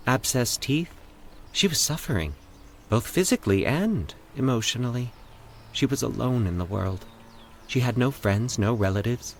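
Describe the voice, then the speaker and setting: A man voicing a calm, eerie woman who communes with the dead, speaking in a soothing, measured monotone for an audio horror story. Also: medium pitch, slight background noise, pitched above the performer's own voice, natural voice. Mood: somber.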